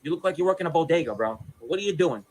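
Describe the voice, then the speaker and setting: A man's voice: fairly high pitch, expressive, very fast, a crowd in the background. A man in an aggressive, taunting, confrontational rant, swearing as he goes. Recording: very noisy played-back video clip.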